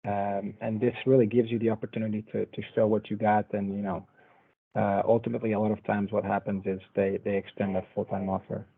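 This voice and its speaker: A man in his twenties from Portugal, giving a relaxed, conversational talk in an online lecture. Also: fairly low pitch, a little fast, gravelly, slightly rough. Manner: relaxed, conversational, loosely paced. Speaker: panel speaker. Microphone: video call. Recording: tinny phone-like video call audio.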